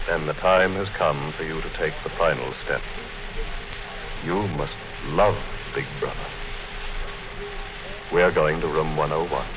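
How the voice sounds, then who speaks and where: An actor playing a calm, coldly menacing male interrogator in a 1950s radio drama. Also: a bass voice, a little slow, long pauses. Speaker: O'Brien. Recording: dull noisy 1950s radio broadcast.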